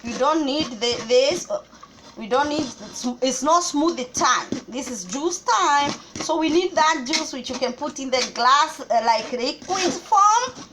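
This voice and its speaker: Kenyan woman, bright and high, cooking vlog host, kitchen recording with audible noise. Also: lively intonation, unhurried. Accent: Kenyan English. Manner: chatty, explains step by step with small restarts. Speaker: cooking vlogger. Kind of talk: casual cooking tutorial.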